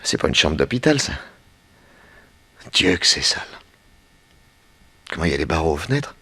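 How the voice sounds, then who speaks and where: An actor in a 1960s French radio drama playing a wry, battered detective who questions everything in a theatrical manner. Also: male voice, very deep voice, sing-song, normal speed, pushed and bright, breathy edge, full character voice. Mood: groggy, bewildered. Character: wry, battered male detective held captive.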